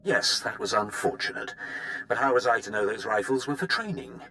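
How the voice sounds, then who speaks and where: An actor playing a wry, aggrieved military weapons master in a video game, with a theatrical way of talking. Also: male voice, medium pitch, expressive, brisk, bright, forceful tone, slight background noise. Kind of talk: video game character dialogue.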